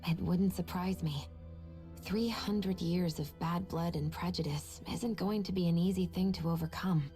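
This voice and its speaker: An actress voicing a reserved, composed young woman in a video game cutscene, speaking in a measured way. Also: fairly low pitch, mellow, faint music behind, natural voice.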